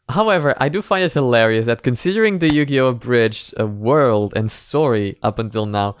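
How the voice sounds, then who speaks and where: A male reaction host talks casually through his analysis. His voice is clean and smooth, recorded dry and close, and he circles back to rephrase as he thinks. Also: medium pitch, dry recording.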